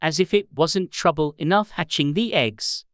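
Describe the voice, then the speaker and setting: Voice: moderate pace, no room sound, clean studio sound, natural voice. A narrator voicing an indignant, fretful mother pigeon who scolds with contempt in a storybook reading. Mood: sobbing complaint. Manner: scolding, shrill exclamations, contemptuous.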